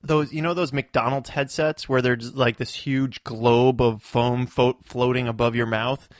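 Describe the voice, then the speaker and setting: Male co-host, middle pitch, easygoing, opinionated, dry close mic. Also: level tone, a little slow, dry recording. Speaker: podcast co-host; touring performer. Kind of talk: casual chat.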